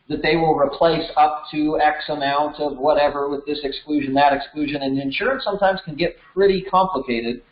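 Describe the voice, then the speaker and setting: An American man in his thirties giving an informal, conversational lesson, explaining things step by step. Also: on the high side. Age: 30s. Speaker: instructor. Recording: roomy echo, slightly dull sound.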